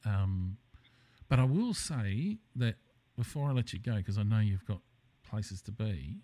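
Low-pitched man, hesitant podcast host. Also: animated, a little slow, mellow. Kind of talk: casual conversational podcast interview.

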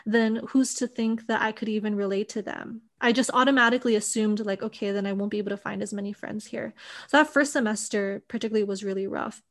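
A young woman from Hawaii telling her personal story in an interview, thoughtful and full of casual fillers. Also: medium pitch. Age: young adult. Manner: thoughtful, many fillers like "like" and "you know".

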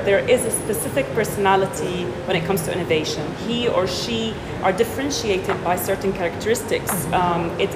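A woman guest answering interview questions in a measured, businesslike way. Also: medium pitch, very noisy. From Syria. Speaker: guest, technology executive. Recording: roomy echo, loud music behind.

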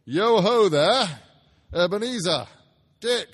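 A man's voice: high voice, expressive, slow, a bit bright, breathy edge, in a large hall, pitched above the performer's own voice, exaggerated voice. A man voicing a jovial, fat old merchant with a rich, oily voice, calling out heartily in a storybook reading. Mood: hearty, jubilant.

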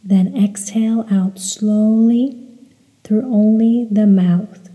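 A woman leading a guided meditation in a measured, deliberate, instructional way, with some recitation. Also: medium pitch, fairly even intonation, mellow. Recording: long hall-like reverb.

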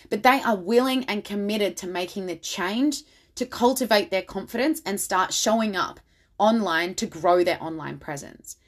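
Female podcast host, slightly raspy from overuse, enthusiastic and motivational, blunt. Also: mid-range voice. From Australia. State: slightly raspy voice from much talking. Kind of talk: solo podcast monologue.

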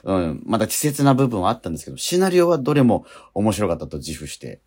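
A man's voice: on the low side, very animated, quick pace, a little mellow. A man hosting a radio show, talking casually yet politely and earnestly as he wraps up.

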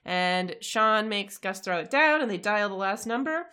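A woman's voice: medium pitch, expressive, moderate pace, pushed and bright, clean tone. A woman recapping and discussing casually as a podcast co-host, conversational and often checking for agreement. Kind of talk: casual episode recap chat.

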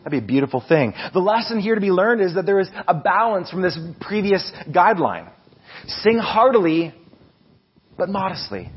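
A man with a loud voice preaching a casual, animated sermon, restarting his sentences often and poking fun at himself. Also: high-pitched, normal speed, slightly rough, muffled recording. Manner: animated, self-deprecating, many restarts. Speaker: preacher and music leader. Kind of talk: conversational sermon.

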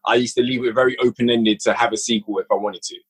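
A man's voice: mid-range voice, fairly even intonation, fast talker, a bit bright. A young man, a podcast guest who writes and directs audio dramas, talking casually and eagerly about his process. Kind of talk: casual conversation.

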